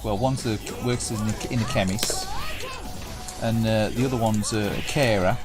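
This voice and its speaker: A man, croaky and a bit under the weather, hosting a livestream and chatting loosely with viewers. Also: lowish voice, fairly even intonation, moderate pace. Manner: rambling, chatty with restarts. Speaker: livestream host. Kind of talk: casual chat with viewers. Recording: game music playing behind.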